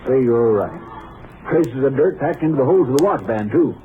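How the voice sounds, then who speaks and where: An actor playing a folksy, plain-spoken Texas sheriff in a 1950s radio crime drama, chatty and conversational. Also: male voice, medium pitch, animated, brisk, natural voice. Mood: puzzled, doubtful. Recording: tinny, noisy 1950s radio recording.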